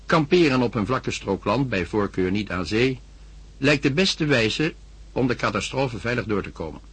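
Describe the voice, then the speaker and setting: An actor playing a radio news announcer in a radio play, reading in a formal, measured way with a husky voice. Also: male voice, mid-range voice, lively intonation, fairly bright tone.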